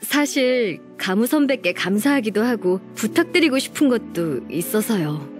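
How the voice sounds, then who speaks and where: A woman's voice: mid-range voice, sing-song, moderate pace, soft background music, close to an everyday voice. A woman playing an earnest, polite young secretary in a video game, heartfelt and a little hesitant toward an admired senior colleague. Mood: grateful.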